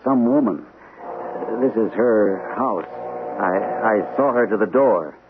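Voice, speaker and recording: An actor playing an anxious, hesitant army captain recovering from shell shock in an old-time radio drama. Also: male voice, on the low side. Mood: nervous, hesitant. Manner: hesitant, halting, nervous. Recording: muffled, narrow-band, noisy old radio recording.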